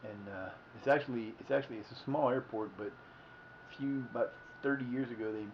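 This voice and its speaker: Fifties Ohio man, livestream host, chatty and wandering, mid-pitched voice on dull lo-fi audio. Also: a little fast, slight background noise. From Ohio, United States. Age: 50s. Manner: meandering, self-correcting, folksy. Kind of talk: rambling casual storytelling.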